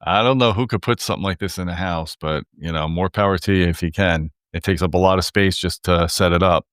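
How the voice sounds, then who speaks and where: A man's voice: deep voice, fairly quick, a bit bright, clear, resonant tone, no echo, clean studio sound. A man with an American accent, hosting a casual interview chat. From United States. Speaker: host, audio reviewer.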